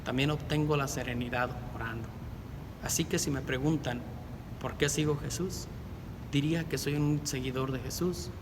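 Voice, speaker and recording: Earnest middle-aged man, reflective testimony, long reverb, loud rumbling noise behind. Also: normal speed. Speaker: deacon candidate. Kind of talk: personal faith testimony.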